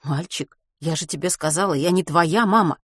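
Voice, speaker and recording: A stern adult woman in an audiobook, played by a female narrator, speaking in a lowish, controlled voice. She is cool and dismissive, and the sound is dry. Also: normal speed, dry recording, lightly acted. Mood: stern, detached.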